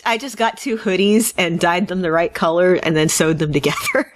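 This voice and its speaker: A woman's voice: on the low side, moderate pace, dry recording. A woman co-hosting a fan podcast, chatting casually and enthusiastically, with wry asides and digressions. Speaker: podcast co-host. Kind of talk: casual fan news chat.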